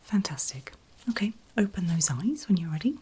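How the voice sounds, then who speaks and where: A woman whispering very gently and reassuringly in a breathy, unvoiced ASMR style, as a medical examiner in a roleplay, close to the microphone. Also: medium pitch, big pitch swings, moderate pace, a little hiss or hum. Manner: gentle, reassuring, checking in often. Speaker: ASMR performer playing an ear examiner.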